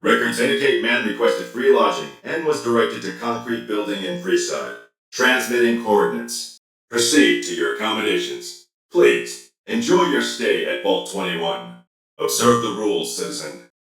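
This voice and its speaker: An officious security robot from a video game, formal and clipped. The voice is impersonal and roomy, set a little back from the mic, with loud guitar music playing. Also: male voice, on the low side, expressive, normal speed, far from the mic. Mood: impersonal, procedural. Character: stern, officious security robot reciting orders. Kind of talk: video game character lines.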